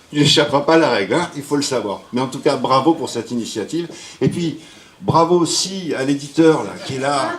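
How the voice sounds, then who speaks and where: A man giving a rambling, hesitant speech to an audience at a book launch. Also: mid-range voice. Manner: rambling, hesitant, with jokes and praise. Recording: roomy hall with faint ambience.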